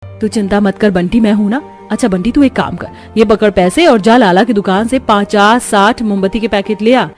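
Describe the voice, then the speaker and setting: A woman voicing an earnest young daughter-in-law in an animated family story. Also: mid-range voice, normal speed, faint music behind, lightly acted. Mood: reassuring. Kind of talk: Hindi animated story dialogue.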